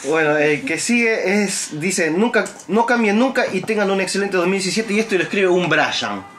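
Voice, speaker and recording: A man's voice: high-pitched, lively intonation, normal speed, a bit bright, slight background noise. A young man with an Argentine Spanish accent hosting a casual group chat, recorded indoors by a camera across the table. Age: young adult. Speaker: host. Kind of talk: casual group chat, discussing viewer survey. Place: small tatami inn room, indoors.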